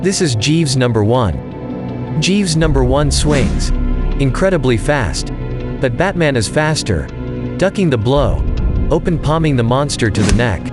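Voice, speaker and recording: A man's voice: mid-range voice, very animated, unhurried. A man delivering dramatic scripted narration as the narrator of a superhero audio drama. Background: loud music and effects.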